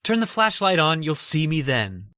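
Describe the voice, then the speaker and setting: An actor playing a scheming, cold-blooded murderer who hides behind a respectable front in an old-time radio horror drama. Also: male voice, a little high, very animated, moderate pace, bright, forceful tone. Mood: gloating menace.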